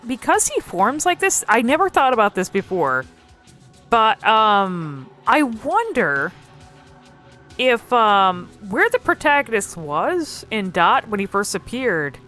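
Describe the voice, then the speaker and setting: A woman's voice: medium pitch, sing-song, unhurried, a bit bright. A woman giving casual, unscripted commentary on game cutscenes, musing hesitantly and often trailing off mid-thought. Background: music.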